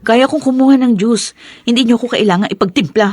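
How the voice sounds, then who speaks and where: Husky, snappish young Filipina daughter-in-law played by a woman. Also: a little high, fairly quick, a little mellow, no echo, studio quality, close to an everyday voice. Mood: curt, angry. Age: young adult. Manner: curt, snappish. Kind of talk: Filipino radio drama acting.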